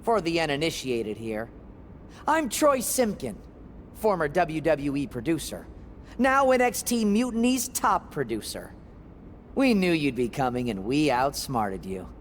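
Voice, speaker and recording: Troy Simkin, a smug TV producer. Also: male voice, on the high side, sing-song, unhurried, slight background noise. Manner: taunting, then pleading. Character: smug, slippery man TV producer.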